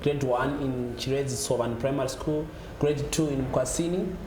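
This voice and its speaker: A man's voice: mid-range voice, steady tone, normal speed. A man from Zimbabwe with a Zimbabwean accent, answering interview questions conversationally as a guest, often restarting his phrases. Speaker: gospel musician being interviewed. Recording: echoey room with clear background noise.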